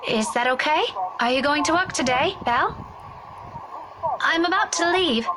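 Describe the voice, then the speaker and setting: A woman's voice: high voice, lively intonation, normal speed, background noise. A woman playing a caring, teasing young adult daughter in a visual novel, speaking casually and expressively. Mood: concerned.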